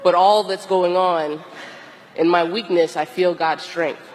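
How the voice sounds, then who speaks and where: A hoarse, tired woman priest with a cold gives a slow, deliberate sermon with long pauses. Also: lowish voice, fairly even intonation, big-room sound, rough recording. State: hoarse, losing her voice from a cold; exhausted. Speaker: Episcopal priest. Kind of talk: reflective sermon.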